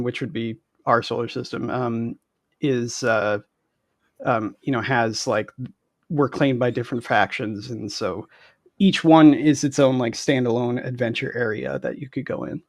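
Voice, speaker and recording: Halting man explaining, dry sound. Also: mid-range voice, fairly even intonation, dry recording. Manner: halting, many "like" and "um". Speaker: guest game designer. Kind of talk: explaining in casual interview. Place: indoor room, on a video call.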